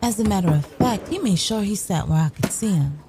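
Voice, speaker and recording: A sly, brash young woman killer acted by a woman confides her story in an audio drama. Her delivery is very animated, sassy and foul-mouthed, swooping around a mid-range pitch. Music plays clearly behind her. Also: brisk, lightly acted. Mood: confiding, sassy. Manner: sassy, profane, colloquial. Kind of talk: scripted first-person narration, audio drama.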